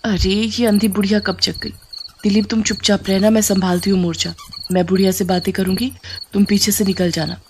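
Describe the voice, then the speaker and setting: A woman's voice: mid-range voice, level tone, brisk, noisy background, lightly acted. Woman voicing a sly young daughter-in-law. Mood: scheming, urgent. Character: sly young daughter-in-law, deceitful, impatient, falsely innocent.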